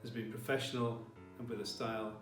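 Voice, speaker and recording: A man giving a formal ceremonial address as a college leader, with measured, deliberate phrasing. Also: lowish voice, flat delivery, a bit bright. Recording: roomy, distant mic, faint music behind.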